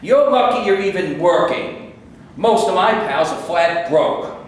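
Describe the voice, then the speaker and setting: A man's voice: high voice, animated, measured pace. A man reading the part of Randy, a brash, dismissive minstrel singer, in a stage reading before a live audience. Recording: echoey hall from far off, faint noise.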